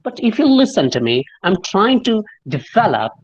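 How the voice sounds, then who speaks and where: A man's voice: sits high, sing-song, moderate pace, dull audio. Malayali English-accented man coaching pronunciation. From Kerala, India. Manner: explanatory, spells words out and demonstrates pronunciation. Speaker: speaking coach. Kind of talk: interactive online English lesson.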